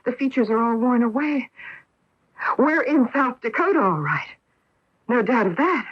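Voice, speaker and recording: An actress playing an awestruck, wary astronaut wife in a radio drama, with a dramatic delivery. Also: female voice, on the high side, animated, unhurried, breathy edge. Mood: awed. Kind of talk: 1980s radio drama acting. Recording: dull lo-fi AM radio broadcast.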